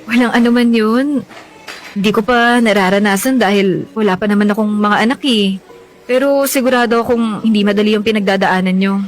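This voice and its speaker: An actress with a Filipino accent playing a frank, teasing young woman in a radio drama, coy and gently reassuring. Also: mid-range voice, fairly even intonation, brisk, faint music behind, lightly acted. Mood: warm, reassuring. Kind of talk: Tagalog radio drama acting.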